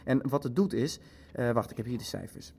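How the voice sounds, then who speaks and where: A man's voice: mid-range voice, fairly quick, creaky, a little mellow, husky. A man acting a detached Nazi bureaucrat in a radio play, clinical and hesitant, fussy about figures. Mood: detached, factual. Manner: hesitant, clinical, leafing through his facts. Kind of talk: dramatic dialogue in a radio play.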